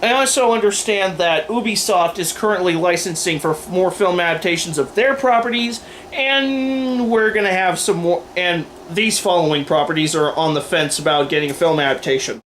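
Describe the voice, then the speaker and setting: A man's voice: high-pitched, normal speed, fairly bright tone, a little hiss or hum. A man delivering opinion commentary to camera in an emphatic, wordy, informal way, like a host going through a list. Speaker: video host and aspiring filmmaker.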